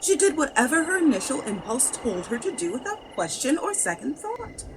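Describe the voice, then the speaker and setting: Female narrator for scripted horror drama, highly expressive, higher register, over noisy background. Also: moderate pace. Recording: clear background noise.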